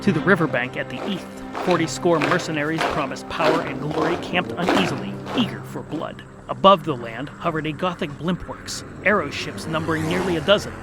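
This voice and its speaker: A man narrating a scripted comic fantasy story in a theatrical, mock-epic manner. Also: fairly high pitch. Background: music.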